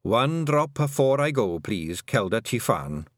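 A man's voice: mid-range voice, sing-song, a little slow, dry recording, studio quality, voice pushed up. A man doing a shrewd old fairy matriarch. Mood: weary, wry. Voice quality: lilting, broad rural brogue. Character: dying tiny old fairy clan mother, bossy, shrewd. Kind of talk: audiobook character voice.

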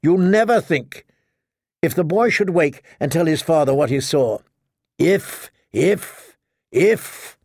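Man voicing an imperious scolding noblewoman, pitched high. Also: moderate pace, a little mellow, dry recording, clean studio sound. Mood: angry, urgent. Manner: berating, urgent. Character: imperious, scolding highborn woman, fierce and anxious.

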